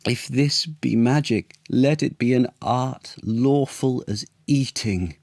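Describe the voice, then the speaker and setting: A man's voice: medium pitch, creaky, a little mellow, dry recording, pitched above the performer's own voice. Male reader as storybook monarch: full of wonder and feeling, reverent stage diction, lingering on words, dry close sound. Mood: moved, amazed. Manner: theatrical, drawn-out, reverent. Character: emotional, awestruck king from a children's Shakespeare tale.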